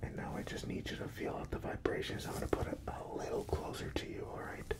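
Whispering young man, ASMR doctor roleplay. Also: fast. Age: young adult. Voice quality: soft, breathy whisper. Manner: gentle, reassuring, instructing. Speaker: ASMR performer playing a doctor. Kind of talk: ASMR medical exam roleplay. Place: small room indoors, set up as an exam office. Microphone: close ASMR microphone.

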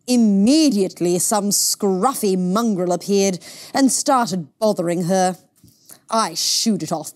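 A woman's voice: medium pitch, a little mellow. A woman voicing a fussy, snobbish mother in a picture book, pompous, bossy and disapproving. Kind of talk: picture book read-aloud.

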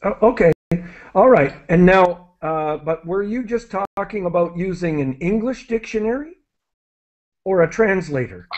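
High-voiced man teaching over a video call. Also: lively intonation, normal speed. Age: middle-aged. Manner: friendly, explanatory, with many fillers and restarts. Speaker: English teacher hosting an online class. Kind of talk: live online English lesson. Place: small room indoors. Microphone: headset on a video call. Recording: dull lo-fi video call audio.

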